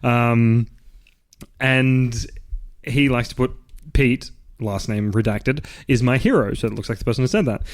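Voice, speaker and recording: A man, co-hosting a casual livestreamed podcast and telling stories with plenty of fillers. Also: unhurried. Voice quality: deep voice. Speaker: podcast co-host.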